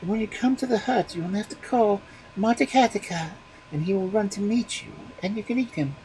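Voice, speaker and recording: A storyteller voicing a fussy, demanding young wife who complains in a high voice. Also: fairly quick, dark tone, a little hiss or hum, natural voice, rough recording. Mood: pleading.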